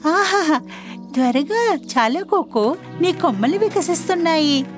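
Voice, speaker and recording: A woman voicing a kind-hearted young girl in a children's cartoon story, earnest and expressive. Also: very high voice, a little slow, over music, pitched above the performer's own voice. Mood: delighted.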